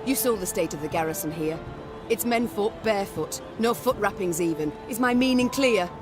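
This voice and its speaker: An actress playing a proud, resolute warrior queen in a game, speaking in a measured, commanding way. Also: medium pitch, expressive, natural voice. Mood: firm, grave. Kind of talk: dramatic scripted game dialogue. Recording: music under the voice.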